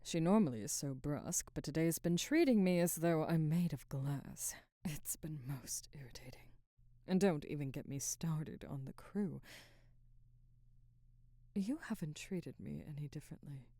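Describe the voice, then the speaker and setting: A woman playing a haughty, guarded middle-aged Hollywood actress in an ASMR roleplay, speaking formally in a soft, breathy voice that often nears a whisper. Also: sits low, animated, dry recording, clean studio sound. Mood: weary, irritated. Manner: formal, measured, aloof phrasing. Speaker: ASMR voice actor. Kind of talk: ASMR roleplay, scripted dramatic monologue.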